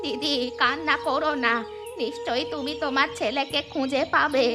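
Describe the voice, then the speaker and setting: A woman voicing a kindly village woman who gently reassures a grieving friend, in a cartoon with music behind. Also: high-pitched, fairly even intonation, moderate pace, natural voice. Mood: comforting. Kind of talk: cartoon voice acting.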